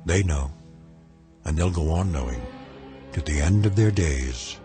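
A man narrating a radio drama in a measured, ominous way. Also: rumbling low, lively intonation, over music. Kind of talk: scripted narration.

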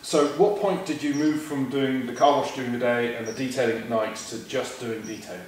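A man's voice: medium pitch, fairly quick, a little hiss or hum. A man hosting an interview, chatty and casual, with plenty of ums and fillers. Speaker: interviewer, magazine host. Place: large workshop garage, indoors. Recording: echoey garage from far off.

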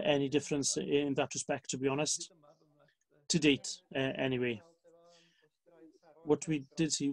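A man's voice: medium pitch, rough recording. A man, a farmer, talking casually about his herd while sitting in a barn, hesitant and full of fillers. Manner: flat, hesitant with many fillers. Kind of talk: casual discussion. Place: farm barn outdoors.

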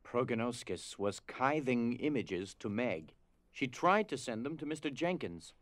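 A man reading dramatized storybook narration in a measured, solemn way. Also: medium pitch, expressive, dry recording. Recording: music behind.

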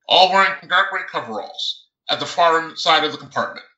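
Bright, forceful man reading science fiction aloud. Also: on the high side. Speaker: author reading own book. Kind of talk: audiobook-style narration of a science fiction novel. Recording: roomy, echoing sound.

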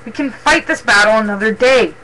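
A worried, loyal warrior cat as a man reads him pleads earnestly in a high, sharp-edged voice. He sounds urgent and sincere, like a hero in an animal storybook. There is faint background noise. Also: brisk, low-quality recording. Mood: urgent, firm. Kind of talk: dramatic storybook character reading.

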